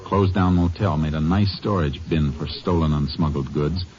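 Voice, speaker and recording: An actor playing a calm, tough Texas Ranger, laconic and polite, in a 1950s radio western. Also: male voice, rumbling low, moderate pace. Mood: cool, resolute. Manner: laconic, polite, steady under threat. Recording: noisy muffled 1950s radio recording.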